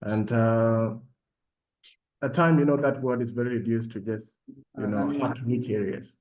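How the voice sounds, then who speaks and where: A man from South Sudan speaking as a panelist in an online session, with measured, formal phrasing. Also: medium pitch, fairly even intonation, highs cut off. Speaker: panelist and program alumnus. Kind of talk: panel talk, self-introduction.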